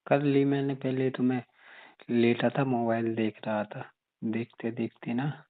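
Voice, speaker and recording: A man's voice: medium pitch, little pitch movement, unhurried, natural voice. A man playing an easygoing, flirtatious married brother-in-law, chatting casually on a muffled phone call recording. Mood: relaxed, flirty.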